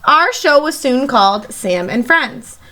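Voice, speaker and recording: A woman teacher reading a picture book aloud to children in an expressive, emphatic storytelling manner. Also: high-pitched. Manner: animated, emphatic, pauses to question young listeners.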